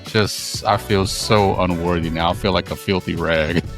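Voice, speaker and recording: A man's voice: low-pitched, fairly even intonation, moderate pace, music in the background. A man co-hosting a podcast in casual, playful chat, a little stuffy with a cold. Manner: playful, joking, laughs often. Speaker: podcast co-host.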